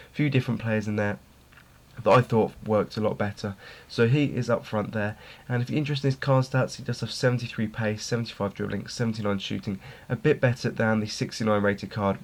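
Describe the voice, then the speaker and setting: A young man reviewing a video game player card in an informal way, his voice slightly worn as he is losing it. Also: on the low side. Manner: informal, repeats words for emphasis. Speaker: gaming video host.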